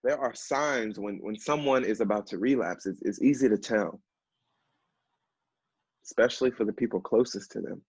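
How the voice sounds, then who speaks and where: An actor playing an earnest, emotional man who knows addiction firsthand, speaking haltingly in a stage play. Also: lowish voice, breathy edge. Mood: earnest, urging. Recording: roomy, dull stage recording.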